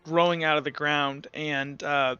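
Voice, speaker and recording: Bright, clear-voiced man running a tabletop game. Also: a little high, flat delivery, measured pace, dry recording, highs cut off, faint music behind. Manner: loose, rambling descriptions full of "like". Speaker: game master.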